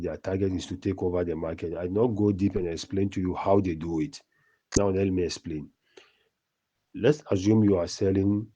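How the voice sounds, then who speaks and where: Deep husky man lecturing in a voice note. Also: mellow, poor audio. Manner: lecturing, repeats and corrects himself. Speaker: voice note sender. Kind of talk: recorded voice message monologue.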